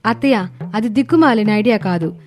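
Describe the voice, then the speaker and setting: A woman voicing a miserly young daughter-in-law in a story, a brisk and cheeky schemer with a pert, emphatic delivery. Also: fairly high pitch, lively intonation, a little mellow, close to an everyday voice. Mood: insistent. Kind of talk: animated moral story dialogue.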